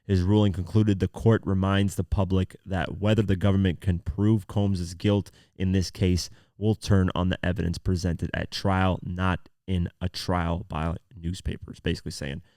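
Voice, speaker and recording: Very deep-voiced man with a sore throat. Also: flat delivery, moderate pace, hardly any pauses, darkish tone, no echo, studio quality. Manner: informal, conversational, reads articles aloud then comments. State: sore throat, voice not at full strength. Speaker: podcast host. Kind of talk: casual news commentary podcast.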